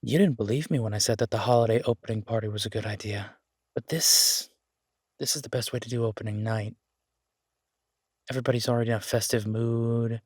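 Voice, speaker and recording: A man's voice: mid-range voice, fairly even intonation, fairly quick, a little mellow, slightly rough, no echo, clean studio sound, lightly acted. A man playing an easygoing, affectionate chef in an audio roleplay, talking in a casual, folksy, reassuring way. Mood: relaxed, affectionate. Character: easygoing down-home male chef, affectionate restaurant owner.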